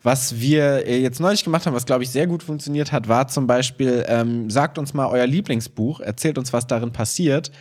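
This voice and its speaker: A man's voice: mid-range voice, normal speed, few pauses, a little mellow. A man chatting informally and colloquially as a podcast co-host. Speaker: podcast co-host and improv performer. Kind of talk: casual conversational podcast chat.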